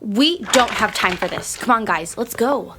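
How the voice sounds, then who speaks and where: Woman acting a feisty, high-voiced young alien girl. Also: expressive, a little slow, noisy background. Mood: impatient. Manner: defensive, blunt. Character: feisty, impatient young female alien stranded on Earth. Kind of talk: comedic audio drama acting.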